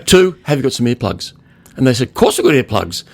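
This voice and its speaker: A man's voice: mid-range voice, very animated, quick pace, creaky, dry recording. Older man, emphatic, in a dry recording. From Australia. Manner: emphatic, repeats words for emphasis. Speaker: loudspeaker designer, podcast guest. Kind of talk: conversational interview storytelling.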